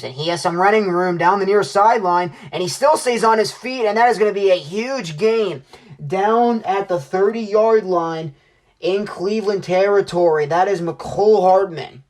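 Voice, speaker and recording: A man's voice: high voice, normal speed. Young man calling football play-by-play. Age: young adult. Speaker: sportscaster, play-by-play announcer. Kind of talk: American football play-by-play commentary.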